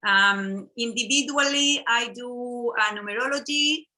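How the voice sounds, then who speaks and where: Bright-voiced woman hosting a workshop. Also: a little high. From Chile. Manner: halting, with fillers and restarts. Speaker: workshop co-host. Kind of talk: casual online workshop hosting. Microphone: video call.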